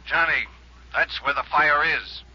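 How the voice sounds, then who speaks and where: An actor playing a pushy, urgent newspaper reporter on the phone in a 1950s radio drama. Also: male voice, fairly high pitch, a little slow, very noisy. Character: boozy male newspaper reporter, pushy and excited. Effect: telephone line. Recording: lo-fi vintage radio.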